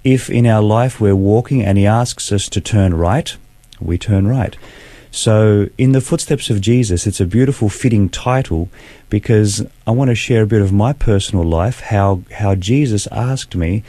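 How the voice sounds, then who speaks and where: Aussie guy in his 40s telling his story, earnest and measured, low mellow voice, dry room. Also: no room sound. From Australia. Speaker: guest. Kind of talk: personal testimony in interview.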